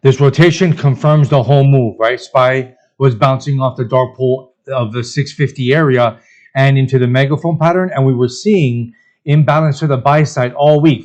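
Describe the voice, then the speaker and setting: Male market analyst and video host, average pitch, conversational chart commentary, muffled lo-fi recording. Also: a little mellow. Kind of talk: informal trading chart commentary. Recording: dull, lo-fi voice recording.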